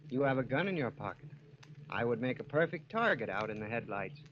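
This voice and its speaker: An actor playing a mysterious, suspicious stranger in a radio drama, formal, knowing and coolly amused. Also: male voice, mid-range voice, sing-song, fairly quick, lightly acted. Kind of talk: 1940s radio acting. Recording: muffled, noisy old radio recording.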